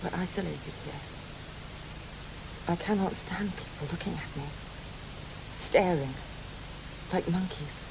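A troubled, proud lady medium speaks with a creaky edge. Her radio drama delivery is grave and intense, over a faint background hiss. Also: fairly low pitch. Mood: haunted. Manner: grave, dramatic, deliberate phrasing. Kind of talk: studio radio drama acting. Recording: hissy background noise.

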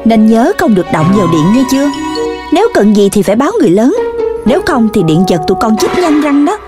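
Mẹ chó miền Nam la rầy. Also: female voice, fairly high pitch, very animated, quick pace, music in the background. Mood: stern warning. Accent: Southern Vietnamese. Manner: scolding, emphatic. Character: exasperated scolding cartoon mother dog, strict but caring. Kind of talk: children's cartoon voice acting.

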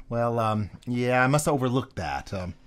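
A fumbling, flustered hotel desk clerk who stalls and hesitates over every answer, as an actor plays him. The pitch swings lively, in a radio play. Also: normal speed, natural voice. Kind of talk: radio drama recreation.

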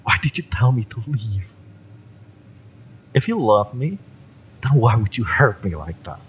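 A man playing a fiery, lovesick young mercenary bodyguard in a romance audio roleplay, speaking with halting, wounded emotion. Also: lowish voice, sing-song, slight background noise. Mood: hurt disbelief.

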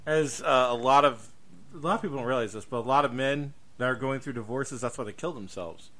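A man's voice: medium pitch, brisk, pushed and bright. A man hosting a casual podcast conversation, speaking emphatically and repeating himself to drive points home. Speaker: podcast host.

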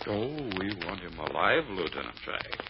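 A self-important, vindictive prosecutor in an old-time radio drama. His voice sits fairly low and has an officious air. The recording is dull and very noisy. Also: sing-song, moderate pace. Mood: gloating. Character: pompous, vindictive male prosecutor hunting his quarry.

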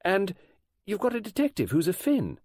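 A man's voice: sits high, wildly swooping pitch, normal speed, darkish tone, dry recording, clean studio sound, voice pushed up, exaggerated voice. A man voicing a boastful, nosy thirteen-year-old girl in a storybook, full of pushy questions. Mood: probing.

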